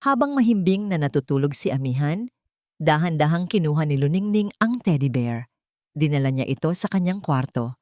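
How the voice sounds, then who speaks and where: A woman with a slightly low voice narrates a dramatic story from a script. Her delivery is solemn and suspenseful, and the recording is dry and close. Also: dark tone, dry recording.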